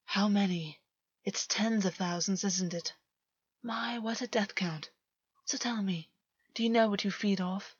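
Woman voicing a softly menacing male fae predator. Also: medium pitch, husky, no echo, muffled recording. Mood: cold, probing. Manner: menacing, deliberate, softly taunting. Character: cruel, predatory male fae taunting his captive.

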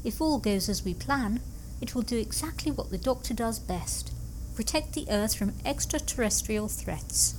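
A woman plays a confident scientist in an audio drama. Her voice is fairly high, precise and assured, with clear noise in the recording. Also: expressive, moderate pace, natural voice, low-quality recording. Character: confident, brisk female scientist presenting her plan.

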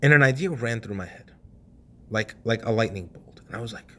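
Young male podcast host, casual storyteller, lively delivery with loud and hushed swings, swears freely. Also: mid-range voice, brisk.

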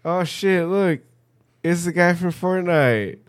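Young dude on a podcast, kinda high voice, swears a lot, just shooting the shit with friends. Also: male voice, slow pace, no room sound, clean studio sound. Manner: profane, slangy, animated storyteller. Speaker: podcast co-host. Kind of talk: casual banter among friends.

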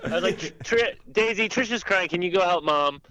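A man on a podcast telling casual comic anecdotes to friends in a playful, animated way. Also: high-pitched, moderate pace, over a noisy crowd, rough recording. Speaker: podcast co-host.

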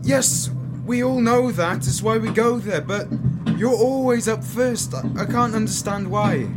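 A man's voice: sits high, measured pace, over loud music. A man playing a worried, protective young soldier in a wartime radio play. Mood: worried, pleading. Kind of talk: amateur radio play acting.

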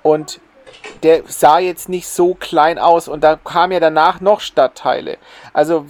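Higher-voiced man, close dry sound. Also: steady tone, unhurried, no room sound. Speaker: podcast host. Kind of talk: casual discussion of an audio drama episode.

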